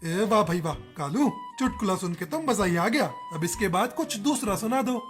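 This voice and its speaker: A woman's voice: mid-range voice, animated, moderate pace, music in the background, lightly acted. A woman voicing a folksy, teasing village bird in a cartoon. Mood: delighted.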